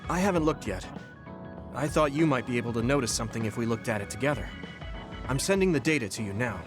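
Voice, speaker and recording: A man playing an earnest, caring young soldier in a video game, speaking sincerely and clearly. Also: medium pitch, animated, fast talker, close to an everyday voice. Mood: apologetic, troubled. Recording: background music under dialogue. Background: game soundtrack music.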